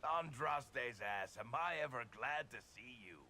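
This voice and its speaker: Jovial dwarf rogue for a game: low gravel, big swings in intonation, irreverent and breezy. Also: male voice, animated, unhurried, sharp tone, a little hiss or hum, heavily acted. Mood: jovial relief. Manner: breezy, sardonic. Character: roguish, jovial male dwarf storyteller, irreverent. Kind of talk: video game cutscene acting.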